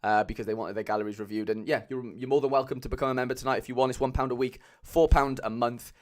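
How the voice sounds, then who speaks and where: A young man with a Cardiff accent chatting casually as a livestream host, loose and rambling with lots of fillers, speaking into a mic on a boom arm at home. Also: steady tone, fast talker. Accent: Cardiff Welsh. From Wales. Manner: chatty, loose, many fillers, calls viewers lads.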